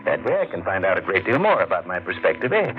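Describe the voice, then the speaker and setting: An eccentric, domineering old gentleman whose voice swoops dramatically up and down. The sound is thin and phone-like, with faint hiss, typical of an old radio broadcast. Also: low voice, very animated, fast. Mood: scheming. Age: older. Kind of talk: 1940s radio acting.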